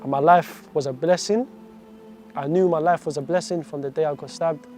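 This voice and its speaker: A man's voice: on the high side, steady tone, moderate pace, a little mellow, faint music behind. A man in his twenties from Iraq, giving an earnest, heartfelt faith testimony full of devout phrases. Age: 20s.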